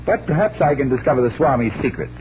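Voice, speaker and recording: A man's voice: mid-range voice, sing-song, fast talker, slight background noise, lightly acted. A man playing a composed, confident magician detective in an old-time radio drama, on a muffled recording. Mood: composed, assured.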